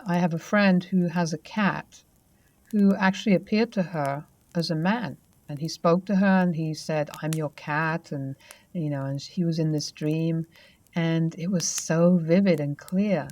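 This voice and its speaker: A woman host reading a first-person paranormal story aloud and then chatting about it in a calm, measured way. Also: low-pitched. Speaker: host and narrator.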